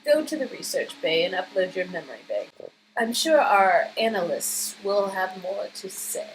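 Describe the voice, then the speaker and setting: A woman voicing a smug, clinical villain in an interactive story game, speaking in a formal, condescending, measured way. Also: medium pitch, sing-song, a little hiss or hum, close to an everyday voice, rough recording. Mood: detached, condescending. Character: smug, coolly clinical woman villain running a sinister research facility.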